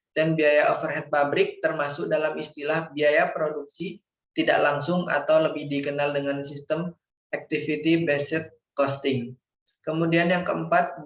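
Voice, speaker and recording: A young man, a university student formally reading out a scripted slide presentation, sometimes stumbling over words. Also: fairly high pitch. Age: young adult. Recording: echoey room, dull lo-fi microphone.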